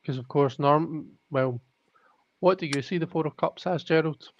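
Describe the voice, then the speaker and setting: A man's voice: fairly high pitch, normal speed. A man hosting a live tarot stream, thinking aloud in casual chat with many restarts. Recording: dull lo-fi audio.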